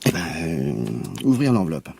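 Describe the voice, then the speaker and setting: A man's voice: deep voice, big pitch swings, normal speed, gravelly, darkish tone, slightly rough, heavily acted. An actor in a French radio drama playing an irritable, cocky married businessman, a grumbling and impatient boss with colloquial, sweary speech. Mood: eager, sly.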